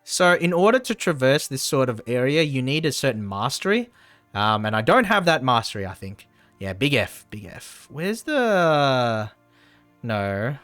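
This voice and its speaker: A man's voice: medium pitch, big pitch swings, unhurried, fairly bright tone, no room sound, faint music behind. A man casually commentating on a game as he livestreams, rambling and often repeating his phrases. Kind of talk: casual gameplay commentary and chat.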